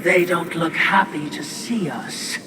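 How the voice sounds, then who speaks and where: A man as a very slow, drawling demon minion. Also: medium pitch, steady tone, distant mic, natural voice. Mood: peevish unease. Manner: drawling, sarcastic. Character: watchful, servile demon minion, sly and complaining. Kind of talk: video game cutscene acting. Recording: echoey, noisy, loud ambience.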